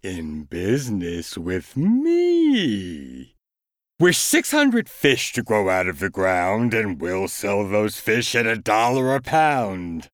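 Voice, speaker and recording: A man voicing a sly, scheming male cat in a storybook reading, coaxing and persuading in a slow, deliberate way. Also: fairly low pitch, huge pitch swings, no echo, clean studio sound, exaggerated voice.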